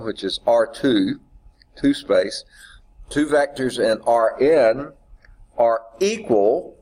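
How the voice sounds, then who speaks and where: A man's voice: mid-range voice, a little mellow. A man teaching a math class in a classroom, sounding stuffed up from sneezing, talking conversationally and often checking in with "okay". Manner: conversational, repeats points, says okay often. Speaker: math instructor. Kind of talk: classroom lecture.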